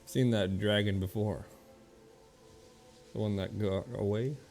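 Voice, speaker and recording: A man with a fairly deep voice comments casually as he plays. His delivery is understated and offhand, sometimes reading dialogue aloud, with clear game music in the background. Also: steady tone, slow, a little mellow. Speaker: game streamer.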